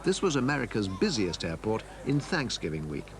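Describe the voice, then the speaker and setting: A man's voice: fairly low pitch, huge pitch swings, fairly quick. Man narrating a documentary, noisy backdrop.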